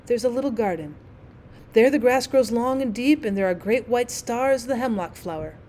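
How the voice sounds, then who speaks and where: A woman voicing a lonely old male ghost in a storybook, low and dreamy, speaking in a sad, wistful way. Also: warm tone, slight background noise, lightly acted. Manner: slow, mournful, wistful delivery. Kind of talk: storybook character reading.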